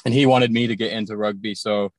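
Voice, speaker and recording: Young man, humble and excited, dry sound. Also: fairly low pitch, quick pace, no room sound. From Thailand, raised partly in England. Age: young adult. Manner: humble, excited, hesitant with many fillers. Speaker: guest, drafted rugby player. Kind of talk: phone interview from his car. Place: in a car.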